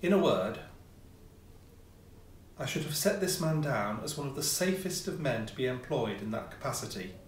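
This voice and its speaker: A man reading a classic ghost story as scripted narration, with measured, theatrical phrasing and pauses. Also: medium pitch, lively intonation. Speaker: audiobook reader, amateur player. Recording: roomy echo, distant mic, faint noise.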